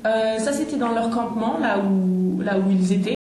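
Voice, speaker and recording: A woman leading a language exam role-play, speaking in a measured, hesitant way with many fillers and soft murmured acknowledgments. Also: on the high side. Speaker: oral exam examiner. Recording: roomy echo.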